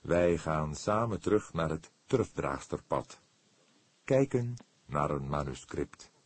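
A man voicing a calm, wry older male police inspector in an audiobook, with a measured, dryly ironic delivery. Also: rumbling low, full character voice. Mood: dry, casual.

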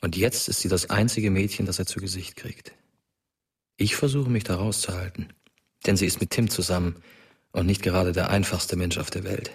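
A man's voice: deep voice, steady tone, normal speed, slightly rough, full character voice. An actor playing a dead teenage boy who narrates a radio drama in a wistful, reflective, intimate monologue. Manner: reflective, rhetorical questions. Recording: music under the voice. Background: prominent music.

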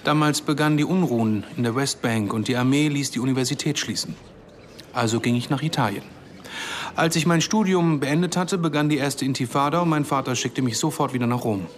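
An actor playing a dryly ironic man in his early forties, telling his story in a measured way in a German radio drama. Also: mid-range voice, slight background noise, lightly acted. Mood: matter-of-fact. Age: 40s.

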